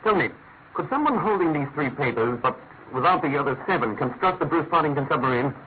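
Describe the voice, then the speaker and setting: Man acting incisive British detective, animated, tinny radio. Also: on the high side, a little fast, close to an everyday voice. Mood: incisive questioning. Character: brisk, incisive male private detective, probing and authoritative. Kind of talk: classic radio drama acting. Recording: noisy, narrow-band old radio recording.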